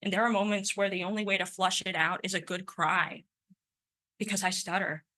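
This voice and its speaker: Bright-voiced young woman who stutters. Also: medium pitch, steady tone, normal speed. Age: 20s. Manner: speaks with a stutter, occasional sound repetitions. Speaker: conference speaker. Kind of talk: prepared personal talk.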